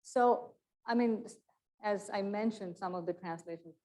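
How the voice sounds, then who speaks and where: A woman lecturer answering audience questions in a measured, thoughtful way, with small hedges and restarts. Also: medium pitch, mellow. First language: not Persian. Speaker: guest lecturer. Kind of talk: academic Q and A answers. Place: lecture room.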